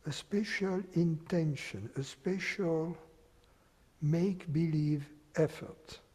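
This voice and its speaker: Slow, soft-spoken man guiding meditation. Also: on the high side, husky, in a large hall. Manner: slow, unhurried, with long pauses. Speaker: meditation teacher. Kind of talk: guided meditation.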